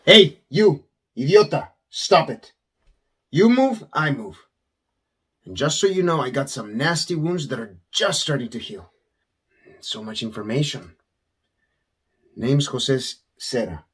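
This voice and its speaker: Game rogue: cocky stranger, wry, breathy-rough voice, unhurried pace. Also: male voice, mid-range voice, sing-song, heavily acted. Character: roguish, cocky Spanish male stranger. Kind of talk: action horror game acting.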